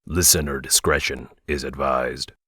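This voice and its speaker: A man's voice: extremely low pitch, steady tone, measured pace, bright, forceful tone, breathy edge, dry recording, studio quality. A man reading a scripted disclaimer as an announcer.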